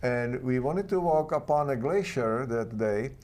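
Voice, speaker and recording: A man from Czechoslovakia with a Czech accent, a guest telling his story in halting, earnest second-language English. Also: medium pitch, animated, a little mellow. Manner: earnest, halting non-native phrasing. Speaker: guest author. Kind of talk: interview storytelling.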